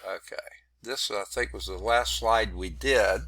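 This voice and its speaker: A hoarse, congested man teaching a math class over a video call, narrating his screen setup in a rambling, self-deprecating way. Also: lowish voice, a little slow, pushed and bright, no echo. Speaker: math instructor. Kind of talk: online class lecture. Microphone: computer mic on a video call.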